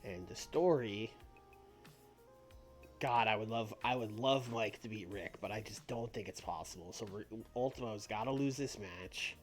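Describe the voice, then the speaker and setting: A man casually thinking out loud while streaming a simulation game, rambling, restarting his sentences and laughing at himself. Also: fairly low pitch, normal speed. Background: faint background music.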